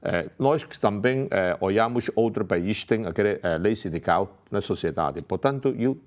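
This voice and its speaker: A man speaking haltingly as a non-native speaker, full of pauses and restarts, as a candidate in a televised political debate. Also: on the low side, expressive. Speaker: election candidate. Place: television studio.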